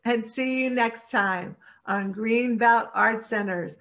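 A woman's voice: on the high side, slow pace, a bit bright. A middle-aged woman reading scripted announcements as the announcer on a video call.

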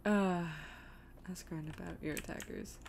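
A woman's voice: on the low side, moderate pace, gravelly, a little mellow, slight background noise. A woman streaming a game, giving casual, self-deprecating commentary as she rambles and thinks aloud. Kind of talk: casual live gameplay commentary, reading chat aloud.

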